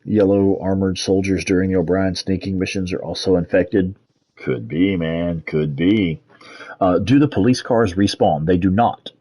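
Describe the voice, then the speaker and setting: A Texan man with a deep, husky voice streams a game and chats with his viewers. His delivery is laid-back and conversational, with casual swearing mixed into his commentary. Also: steady tone, normal speed, mellow. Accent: Texas. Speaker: gaming streamer. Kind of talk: live gameplay commentary, reading chat.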